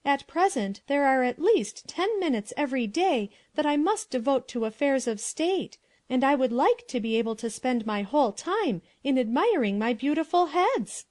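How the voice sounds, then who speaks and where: A woman playing a vain, haughty, quick-tempered princess in a dramatized storybook, imperious and dramatic. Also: sits high, sing-song, a little mellow, no room sound, studio quality. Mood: eager, self-absorbed.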